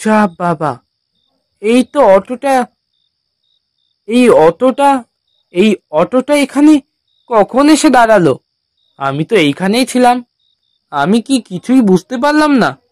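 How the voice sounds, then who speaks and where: A man's voice: extremely high pitch, very animated, slow, pauses often, darkish tone, no echo, clean studio sound, voice pushed up, exaggerated voice. A man voicing an anxious, devout male traveller in a horror cartoon, muttering and pleading fretfully. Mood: puzzled. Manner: worried muttering to himself, pleading.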